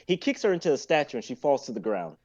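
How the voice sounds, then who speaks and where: Young guy narrating a script live, average pitch, slightly muffled home mic. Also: big pitch swings, fast, a little mellow. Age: young adult. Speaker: narrator reading stage directions. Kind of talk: live amateur script reading.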